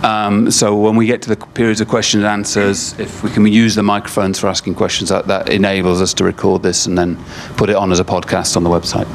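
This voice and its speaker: A man introducing a guest lecturer in a hall, speaking haltingly into a microphone with many fillers and restarts. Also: lowish voice, little pitch movement, slight background noise. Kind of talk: hesitant spoken introduction. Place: lecture room. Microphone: microphone at a lectern.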